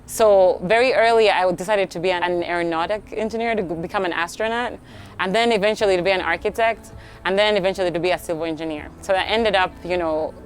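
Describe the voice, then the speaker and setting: A woman's voice: lowish voice, slight background noise. A young woman from Uganda, answering interview questions outdoors in a chatty, reflective way, often saying "like". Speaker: guest, tree program coordinator. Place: outdoors at a tree nursery.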